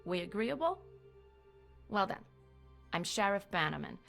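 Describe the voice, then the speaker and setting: An actress playing a wry, no-nonsense American small-town sheriff, plainspoken, folksy and firm, in a video game cutscene. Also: female voice, mid-range voice, big pitch swings, unhurried, creaky, a bit bright, soft background music, heavily acted.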